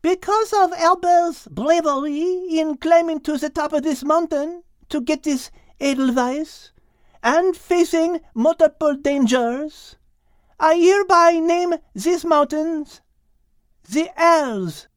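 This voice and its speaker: A woman's voice: high-pitched, takes it slowly, no room sound, studio quality, voice pushed up. A woman voicing a sweet, gracious female cat, speaking in a gushing, sing-song way. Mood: joyful.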